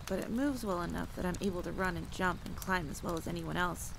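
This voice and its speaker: Woman as creaky-voiced young female hunter, rain behind. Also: lowish voice. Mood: casual, dry. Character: young woman vampire hunter recounting her past. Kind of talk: first-person dramatic storytelling. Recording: steady rain noise behind the voice.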